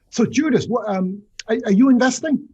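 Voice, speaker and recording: A high, husky male voice comes through a dull, lo-fi video call. He runs a casual online class, chatty and laughing a lot, tripping over his restarts. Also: dark tone. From Boston area. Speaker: lecturer hosting a finance session.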